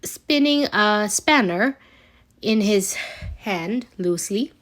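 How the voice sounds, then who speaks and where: A woman reading a scripted story aloud as an amateur narrator, expressive but a little halting, with occasional stumbles. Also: medium pitch. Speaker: amateur fan fiction reader.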